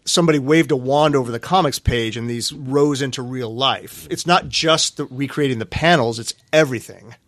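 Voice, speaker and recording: A man's voice: medium pitch, moderate pace, few pauses, a bit bright, dry recording. Man, podcast co-host, earnest and a little hesitant, dry close sound. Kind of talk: casual chat.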